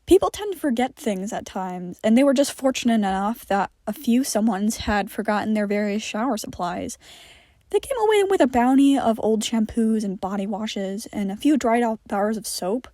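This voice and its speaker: A woman's voice: a little high, lively intonation, normal speed, warm tone, no echo, clean studio sound. A woman reading scripted fiction aloud as a narrator.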